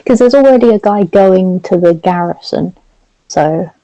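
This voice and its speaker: A woman's voice: medium pitch, moderate pace, dry recording, muffled recording. A woman playing in a tabletop game, chatty and casual, with what she calls a big voice. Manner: chatty, says she has a big loud voice. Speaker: player.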